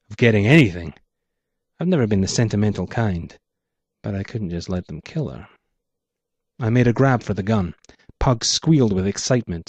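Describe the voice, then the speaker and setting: A man narrates first-person noir fiction as its author. His voice sits fairly low and the telling is measured and dramatic. The recording is very dry and slightly muffled. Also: a little mellow, no room sound. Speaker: author reading own story.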